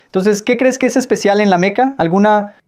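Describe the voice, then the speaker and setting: A woman's voice: medium pitch, fairly quick, darkish tone. Woman explaining patiently, quizzing listeners. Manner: patient, explanatory, quizzes the audience with light jokes. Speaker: speaker answering audience questions on Islam. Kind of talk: Q&A lecture in Spanish voice-over.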